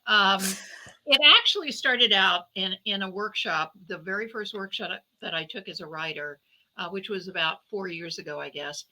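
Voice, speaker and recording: A woman's voice: medium pitch, moderate pace, pushed and bright, rough recording. A woman novelist answering interview questions in a conversational, explanatory way.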